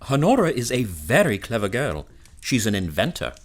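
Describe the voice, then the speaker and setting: An actor playing a suave, formal gentleman in a period audio drama, quietly sly and assured with a wry manner. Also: medium pitch, big pitch swings, moderate pace. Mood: courteous.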